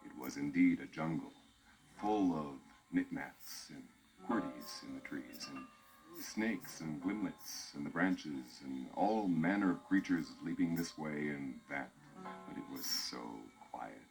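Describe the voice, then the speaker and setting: A man narrating a children's storybook record in a measured storytelling way. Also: a bass voice, expressive, darkish tone, soft background music, rough recording.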